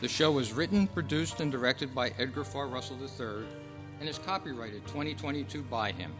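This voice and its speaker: Male radio announcer over clear music. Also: mid-range voice, fairly quick. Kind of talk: scripted old-time radio announcing, reading credits.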